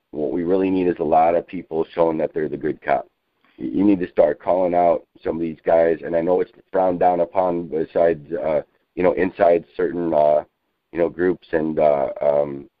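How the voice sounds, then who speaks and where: Very deep male voice, halting, repetitive, insistent, informal political talk, tinny telephone line. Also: no room sound. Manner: halting, repetitive, insistent, peppered with you know. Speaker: civilian activist on a group conference call. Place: telephone conference call. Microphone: phone. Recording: muffled narrowband phone conference line.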